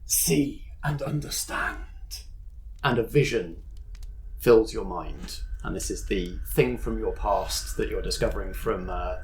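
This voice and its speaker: Male game master, low voice, measured scene-setting. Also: slight background noise, poor audio. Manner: descriptive, measured, slows for drama. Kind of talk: narrating a tabletop game.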